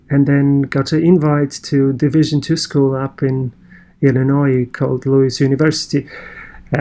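A man's voice: medium pitch, warm tone. A man from Poland with a Polish accent, telling his own story in casual conversation and often restarting his sentences. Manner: halting, many restarts and fillers.

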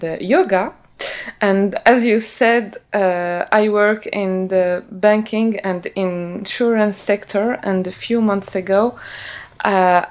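A woman from Morocco, a non-native English speaker, answering as a podcast guest and hesitantly searching for words. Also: medium pitch. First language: not English. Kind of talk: interview answers.

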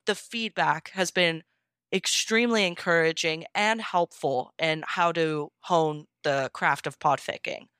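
A woman's voice: sits low, unhurried, a bit bright, clean tone, no echo, studio quality. A woman speaking as an interview guest, answering casually and reflectively in an earnest, appreciative way. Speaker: interview guest, amateur audiobook-style fan fiction reader.

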